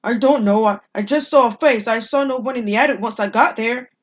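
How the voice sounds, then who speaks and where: A woman's voice: on the high side, fairly quick, dull audio, natural voice. Woman playing a rattled, insistent husband. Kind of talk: audio drama dialogue.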